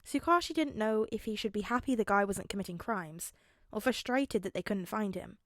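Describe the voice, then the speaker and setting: A woman's voice: mid-range voice, fairly quick, dry recording, studio quality. A woman reading scripted story narration in the style of an audiobook. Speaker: fan fiction narrator.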